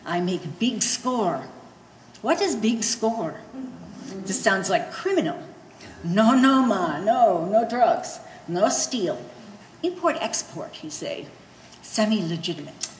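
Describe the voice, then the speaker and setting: A woman's voice: mid-range voice, big pitch swings, takes it slowly. A woman playing a blunt, scornful old Polish immigrant mother in a stage play, speaking broken English with a Polish accent. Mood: proud, fond, then baffled, exasperated. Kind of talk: one-act stage play performance. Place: gallery stage performance, indoors. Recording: echoey hall with faint noise.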